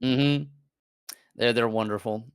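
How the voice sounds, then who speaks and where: Man, mid-pitched, smooth resonant voice, dry close mic, casual cursing chatter. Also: slow, no room sound. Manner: animated, swearing, laughing, frequent fillers. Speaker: VTuber guest. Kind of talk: casual stream chat.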